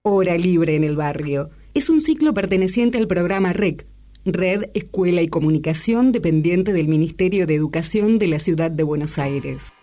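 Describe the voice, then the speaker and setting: Una mujer con acento argentino que lee la presentación de un programa de radio como locutora. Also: female voice, on the low side, animated, normal speed, dark tone. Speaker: radio announcer. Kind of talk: scripted programme ident. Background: music.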